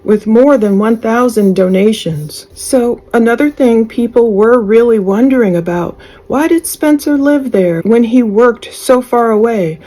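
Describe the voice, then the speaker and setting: Woman true crime host, mellow relaxed voice, chatty news update style, thinking out loud. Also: a little high, measured pace, few pauses, poor audio.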